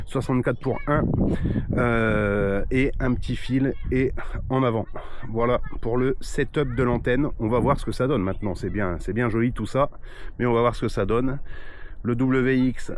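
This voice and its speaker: A man's voice: mid-range voice, moderate pace. A man who vlogs as an amateur CB radio operator, talking casually and calling with repeated phrases and spelled-out call signs. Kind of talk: casual outdoor vlog and radio calls. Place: outdoors on a hillside by the sea. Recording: loud radio static and hiss.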